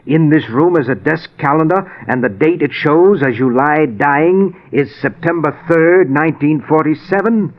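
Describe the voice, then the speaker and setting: A man's voice: on the high side, normal speed, hardly any pauses, close to an everyday voice. An actor playing a haunted man obsessed with cheating death, in an agitated, theatrical 1940s radio drama style. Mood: urgent resolve. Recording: muffled, band-limited old 1940s radio recording.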